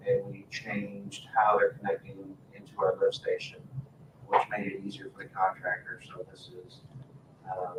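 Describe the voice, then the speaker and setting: A man presenting agenda items informally at a council meeting, in a halting, matter-of-fact way. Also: lowish voice. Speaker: town staff member presenting agenda items. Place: indoor town council meeting room. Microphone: distant room microphone. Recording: echoey meeting room, distant mic, faint noise.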